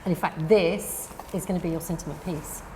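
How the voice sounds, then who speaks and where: A woman hosts an interview in a lowish voice, encouraging her guest with steady yeahs. Her voice has a long reverb and a faint hiss. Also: a little fast. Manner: encouraging, frequent "yeah" backchannels. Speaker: gallery host. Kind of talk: interview hosting.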